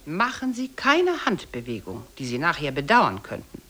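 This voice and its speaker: A woman with a husky voice giving a scripted, precise and emphatic instructional narration in a radio play. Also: sits low, wildly swooping pitch, normal speed, fairly bright tone. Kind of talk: scripted second-person narration.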